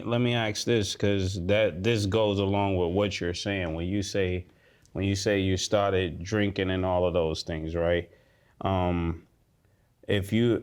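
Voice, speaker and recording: A man's voice: deep voice, a little mellow, clean tone. A male co-host of a talk show, asking probing, laid-back questions in a studio. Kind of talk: casual talk show interviewing. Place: studio set.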